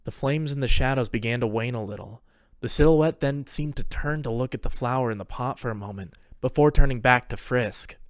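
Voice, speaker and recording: A man's voice: mid-range voice, fairly quick, hardly any pauses, no room sound, clean studio sound. A man reading scripted dramatic narration as the storyteller of a fantasy tale.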